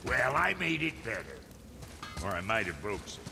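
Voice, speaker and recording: A man playing a folksy, crude, grumbling dwarf craftsman in a video game, with rough ungrammatical speech. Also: on the low side, very animated, moderate pace. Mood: boastful, casual. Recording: long reverb, music behind.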